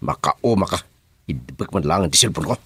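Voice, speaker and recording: A man's voice: deep voice, expressive, fast, a bit bright, breathy edge, exaggerated voice. An actor playing a stern, domineering crime boss giving orders to his henchmen in an Ilocano radio drama.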